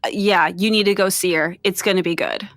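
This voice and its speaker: A woman's voice: on the low side, steady tone, a little fast, fairly bright tone, no room sound, studio quality, soft background music. A woman co-hosting a casual film podcast, chatting in a playful, emphatic way. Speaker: podcast co-host.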